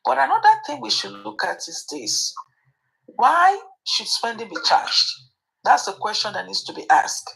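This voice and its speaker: A woman's voice: mid-range voice, huge pitch swings, measured pace, creaky, a bit bright, low-quality recording. A woman hosting a commentary chat, hesitant with fillers at times, then pointed and emphatic. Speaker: host.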